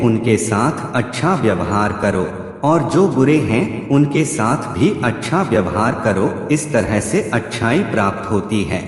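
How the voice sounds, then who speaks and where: A man narrating scripted motivational quotes in measured, aphoristic short phrases. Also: fairly low pitch. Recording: echoey hall reverb with background music. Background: music.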